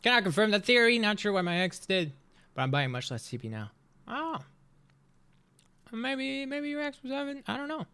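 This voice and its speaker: A man in his thirties chatting casually on a live stream, rambling and joking as he reacts to a video, his voice swinging between loud and soft. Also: very high-pitched, very animated, normal speed, long pauses, smooth.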